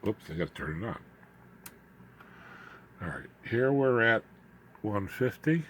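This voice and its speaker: A man giving an informal, unscripted hands-on demonstration as a hobbyist, haltingly, muttering asides to himself as he works. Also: fairly low pitch, big pitch swings, fairly bright tone. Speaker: hobbyist radio builder demonstrating his equipment. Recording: clear background noise, room ambience.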